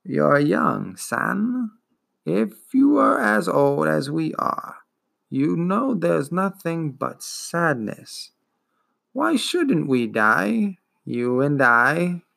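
An ancient swamp turtle, female and worn out by endless years, wheezes and gurgles through every word, as a man puts her on. Her speech crawls along, slow and drawn out, and gravelly fry rumbles beneath it. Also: a bit bright, exaggerated voice.

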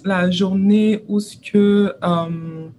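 A woman's voice: medium pitch, steady tone, drawn-out words, dark tone. Hesitant young woman, faint noise. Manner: hesitant, searching for words. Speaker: guest. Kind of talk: radio discussion answer.

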